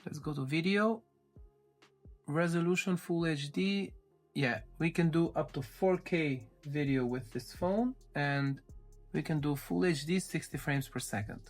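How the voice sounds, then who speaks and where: A man's voice: fairly high pitch, measured pace, soft background music. A man giving an informal, step-by-step tech review commentary as he handles a device. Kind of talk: casual unboxing walkthrough commentary.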